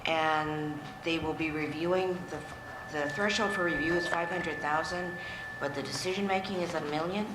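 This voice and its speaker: Female board member, low pitch, probing and plain-spoken, formal meeting questions, noisy room sound. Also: fairly even intonation, moderate pace, hardly any pauses. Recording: echoey meeting room with clear background noise.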